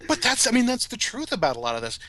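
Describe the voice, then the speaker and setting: A man's voice: very high-pitched, wildly swooping pitch, racing, over a noisy crowd. A middle-aged man speaking as a podcast guest in casual, reflective conversation.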